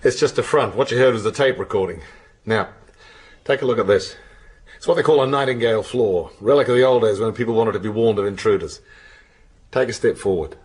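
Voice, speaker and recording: A man's voice: lowish voice, brisk, darkish tone, slightly rough. An actor in a radio drama playing a crude, blustering, middle-aged Australian intelligence man who likes his drink and talks in slang. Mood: businesslike, confiding. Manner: brash, slangy, opinionated.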